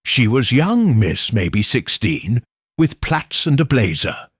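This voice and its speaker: A man voicing a nervous young hotel maid in a halting audiobook reading. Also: mid-range voice, big pitch swings, husky, no room sound, clean studio sound, exaggerated voice.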